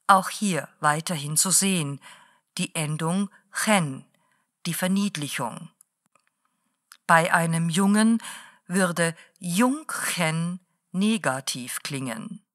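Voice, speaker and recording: Give me a woman playing a slow, deliberate radio lecturer, low-ish and dry. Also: animated, fairly bright tone, dry recording. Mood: lecturing. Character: calm female late-night radio lecturer. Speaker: radio presenter.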